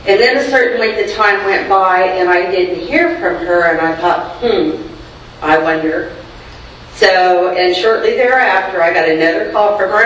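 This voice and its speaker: A clinic screener with a naturally loud voice, telling a chatty story at a group meeting. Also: mid-range voice, slight background noise. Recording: echoey room, far from mic, dull.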